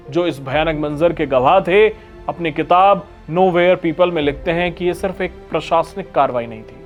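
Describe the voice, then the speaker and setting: High-pitched male narrator, grave and dramatic. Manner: grave, emphatic storytelling. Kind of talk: scripted dramatic documentary narration. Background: background music.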